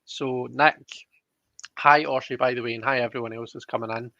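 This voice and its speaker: A man's voice: mid-range voice, fairly even intonation, normal speed, vocal fry, bright, forceful tone, no room sound, muffled recording. A man hosting a live tarot reading in a casual, conversational way, checking in with his listeners as he explains.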